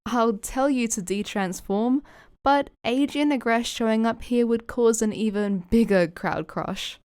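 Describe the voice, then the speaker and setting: A woman voicing a feisty, easily flustered young woman, animated and affectionately scolding, in an audio fan fiction. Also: on the high side, a little slow, clean tone, no echo, studio quality, lightly acted. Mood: exasperated.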